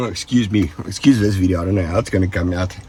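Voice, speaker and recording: South african dude with the flu, lowish voice, jokey rambly vlog tips, a faint hiss behind. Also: male voice, moderate pace, fairly bright tone. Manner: joking, rambling, trails off with "what what what". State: sick with flu, rough voice. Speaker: vlogger. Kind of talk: casual safety-tips talk to viewers.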